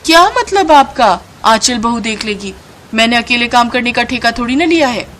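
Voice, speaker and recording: Lady doing spoiled rich young bahu, snooty n mocking, story cartoon, higher voice, faint noise. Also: expressive, moderate pace, fairly bright tone. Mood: haughty, indignant. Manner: mocking, self-important. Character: haughty, spoiled young elder daughter-in-law, vain and mocking.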